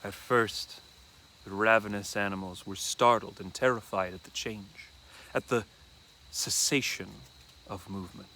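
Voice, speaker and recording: A man reading a classic horror story aloud as dramatic first-person narration, deliberately and with dramatic pauses. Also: lowish voice, fairly even intonation, bright, forceful tone, a little hiss or hum.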